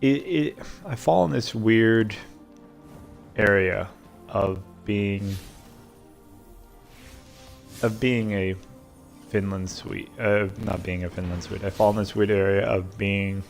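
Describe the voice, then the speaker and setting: Male vlogger from America, slow and creaky, thinking aloud in stops and starts, music behind. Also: on the low side. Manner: halting, repeats words, searching for phrasing. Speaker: host of a solo vlog and podcast. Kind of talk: casual reflective monologue. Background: video game sound and music.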